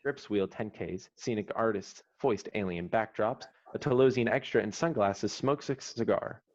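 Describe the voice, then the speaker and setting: A man's voice: on the low side, normal speed. A man reading scripted stage directions as narrator for an online screenplay table read. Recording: dull lo-fi online call audio.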